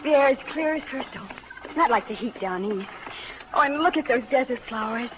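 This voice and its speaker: An actress playing a young, wide-eyed bride who exclaims in a gushing way in a western radio drama. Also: female voice, sits high, animated, a little fast, background noise. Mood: delighted. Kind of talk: 1940s-50s western radio acting. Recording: narrow-band old radio recording.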